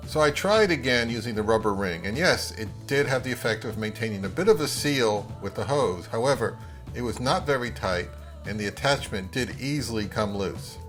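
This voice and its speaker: A man narrating a casual product review in a measured, wry and mildly critical manner. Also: mid-range voice, animated, fairly bright tone, faint music behind.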